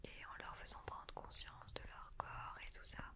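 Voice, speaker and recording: A woman in her twenties speaking in a breathy whisper at a close desk microphone, chatting and explaining as an ASMR guest. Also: normal speed. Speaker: guest, ASMR creator demonstrating her tools. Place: small indoor studio with sofa. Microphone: close desktop condenser microphone.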